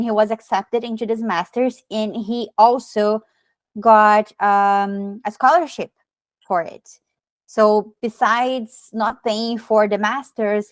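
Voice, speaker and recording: A woman in her thirties from Brazil with a Brazilian Portuguese accent, a podcast guest telling her story in casual, animated chat. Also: mid-range voice, slow pace, dry recording. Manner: many fillers and restarts, animated storytelling.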